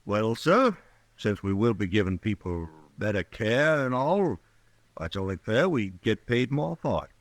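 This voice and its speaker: Huckster Old West doctor: lowish, lively pitch, glib and insistent, radio western, close dry sound. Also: male voice, a little slow, no echo. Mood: glib, persuading. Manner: glib, insistent, indignant when challenged. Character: smooth-talking, self-justifying huckster frontier doctor.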